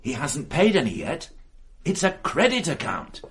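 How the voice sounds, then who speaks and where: An actor playing a dogged, excitable police detective in a radio mystery drama, reasoning aloud with emphatic insistence. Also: male voice, a little high, normal speed, slightly rough, exaggerated voice. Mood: excited, insistent. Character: dogged male police detective, excitable, sure of his theory. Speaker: investigator.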